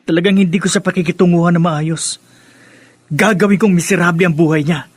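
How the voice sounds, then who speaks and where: A man's voice: high-pitched, steady tone, moderate pace, husky, dry recording. A man playing a spiteful, scornful young adult son in a Filipino radio drama. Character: spiteful, scornful grown son resenting his stepmother.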